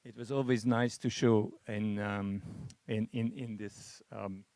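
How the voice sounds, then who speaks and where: A man's voice: lowish voice, steady tone, slow, darkish tone, dry recording. Hesitant man with a Hungarian background. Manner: hesitant, many restarts and fillers. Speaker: masterclass participant. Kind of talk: halting discussion remarks.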